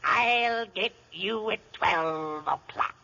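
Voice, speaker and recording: A woman's voice: medium pitch, slow pace, bright, forceful tone, full character voice. A woman playing a greedy, vengeful mountain hermit in old-time radio drama, screechy and menacing. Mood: greedy, threatening. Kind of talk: 1930s-40s radio acting. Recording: muffled old radio broadcast.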